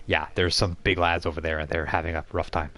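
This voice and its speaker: A man's voice: low voice, little pitch movement, fast talker, a bit bright. A man narrating a tabletop role-playing game as game master, often repeating and restarting his phrases.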